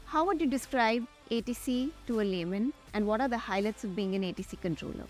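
Young female pilot-host, Indian accent, slightly high voice, scripted delivery over music. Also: fairly quick. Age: young adult. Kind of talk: scripted interview hosting and presenting. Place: large glass-walled airport tower room. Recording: music under the voice. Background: background music.

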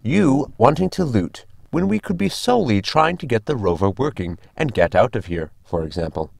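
A logical, fussy robot crewmate acted by a man in a sci-fi audio comedy. The male voice is very creaky and lively, with precise, formal phrasing and a dry, close sound. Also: mid-range voice, normal speed, dry recording. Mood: matter-of-fact. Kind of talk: science fiction comedy audio drama.

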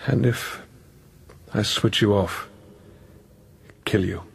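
A man in a radio drama playing a weary, guilt-ridden priest, anguished and searching, with an intense, halting, brooding delivery. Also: lowish voice, fairly even intonation, gravelly, breathy edge, full character voice. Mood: quiet, sorrowful.

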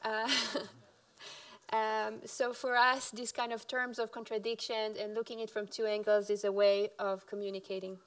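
A woman architect from Israel presents slides at a podium in an auditorium, speaking in a halting way. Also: mid-range voice, little pitch movement, clear, resonant tone. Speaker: architect giving a talk. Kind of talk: lecture with slides. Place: dark auditorium stage at a podium.

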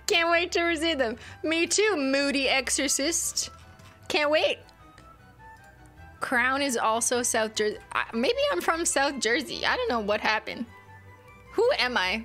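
A woman's voice: high-pitched, pushed and bright. A young woman hosting a casual livestream, reading chat with a playful, teasing manner and frequent laughs. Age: young adult. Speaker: livestream host. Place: home streaming room, indoors. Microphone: studio mic on boom arm.

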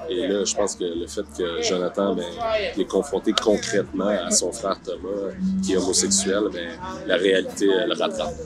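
Low-voiced man, halting. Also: little pitch movement. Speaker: actor being interviewed. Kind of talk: interview answers. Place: outdoor park film set. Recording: music clearly under the voice.

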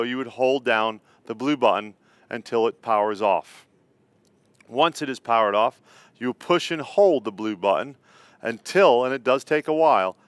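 A middle-aged man with an American accent gives a plain-spoken product tutorial. His voice is smooth and clean, recorded dry and close in a studio. He lays out each step in order. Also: mid-range voice, fairly bright tone, no echo. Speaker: company co-founder presenting product tutorial. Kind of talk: instructional product walkthrough. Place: indoor studio set.

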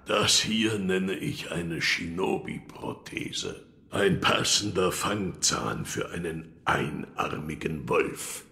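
An actor playing a gruff, cryptic old wood-carver in an audio drama, brooding and gravelly. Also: male voice, low-pitched, expressive, takes it slowly, bright, forceful tone, full character voice. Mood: grave, instructive. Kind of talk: audio drama acting of a video game.

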